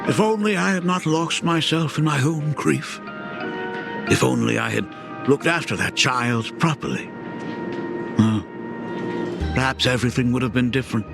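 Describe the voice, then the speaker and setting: Creaky, gentle middle-aged father. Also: medium pitch, animated, moderate pace, pauses often, music in the background. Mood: regretful. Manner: gentle, reflective. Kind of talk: dramatic video game voice acting.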